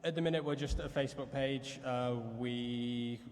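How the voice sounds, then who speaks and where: A man's voice: on the high side, steady tone, fast, darkish tone. A man, a DJ on a convention panel, talking in a rambling, casual way full of fillers. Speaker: DJ and music page runner. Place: convention hall stage. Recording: hall reverb, faint noise.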